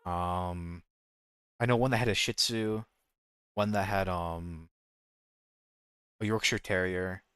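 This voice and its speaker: A man's voice: sits low, steady tone, slow, long pauses, a bit bright, clean tone, dry recording, studio quality. A man with an American accent chatting casually as a game streamer, rambling and jokey, often correcting himself mid-thought. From United States. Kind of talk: casual livestream chat.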